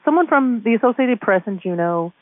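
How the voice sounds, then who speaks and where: A woman being interviewed over the phone, casually recounting events in a halting way with repeated words. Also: on the high side, animated, dry recording. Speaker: store manager being interviewed. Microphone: telephone call. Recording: muffled band-limited phone line.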